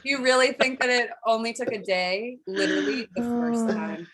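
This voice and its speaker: A woman's voice: a little high, fairly even intonation, moderate pace, a bit bright, slightly rough. Chatty woman emceeing a comedy mic. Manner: chatty, playfully teasing. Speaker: show host, emcee.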